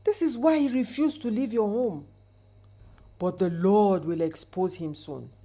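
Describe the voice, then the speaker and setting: A woman voicing a grave, prophetic male pastor in a folktale, speaking solemnly and deliberately. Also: medium pitch, mellow. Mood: grave warning.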